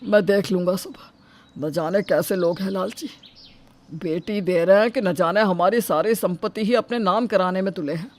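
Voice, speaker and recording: A woman's voice: mid-range voice, normal speed, darkish tone, lightly acted. A woman playing an indignant, suspicious parent of a groom, exclaiming and scolding in a story drama.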